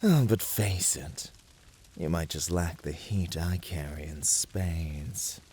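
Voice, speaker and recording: A man playing a flirty, fiery incubus servant in a scripted ASMR roleplay, teasing with theatrical, drawn-out delivery. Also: low-pitched, dark tone, husky, exaggerated voice. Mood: playful teasing.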